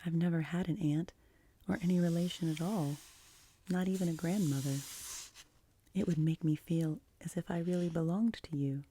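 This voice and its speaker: A woman voicing an imploring, imaginative orphan girl in an audiobook, earnest, wistful and breathy. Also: sits low, animated, measured pace, dark tone, a little hiss or hum. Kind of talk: audiobook character voice.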